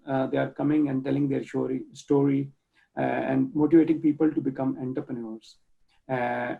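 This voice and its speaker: A man's voice: mid-range voice, vocal fry. A man from Pakistan with a Pakistani accent, talking earnestly as an interview guest over a video call, often restarting words as he searches for phrasing. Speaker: guest, project manager and nonprofit volunteer. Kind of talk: casual interview talk. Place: home room, indoors. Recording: dull lo-fi video call audio.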